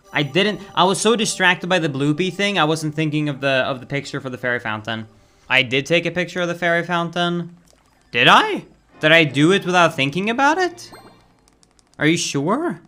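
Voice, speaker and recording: Bright-voiced Swedish man streaming. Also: on the high side. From Sweden. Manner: casual, chatty, swears and flares up over game lag. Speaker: game streamer. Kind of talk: live-stream gameplay commentary.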